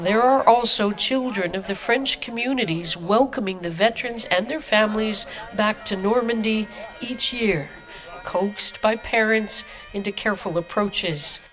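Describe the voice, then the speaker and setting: Brief: woman reporter, mid-pitched, solemn news voice-over, faint music underneath. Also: a little slow, low-quality recording. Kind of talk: scripted news narration.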